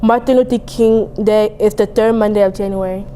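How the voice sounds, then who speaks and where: A woman's voice: mid-range voice, steady tone, brisk, warm tone, slight background noise. A young teenage girl, a student, reading a prepared school report aloud. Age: young teen, 7th grade student. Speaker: student reader.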